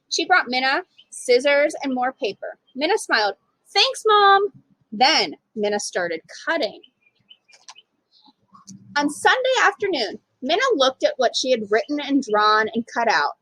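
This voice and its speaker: A woman reading a children's story aloud as an expressive storytime narrator. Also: high-pitched, unhurried, slightly rough, no echo, rough recording. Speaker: storytime reader.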